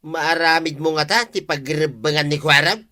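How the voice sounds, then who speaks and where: Actor as sharp-voiced, aggrieved old Ilocano watchman. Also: on the high side, little pitch movement, normal speed. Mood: indignant. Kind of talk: Ilocano radio comedy drama acting.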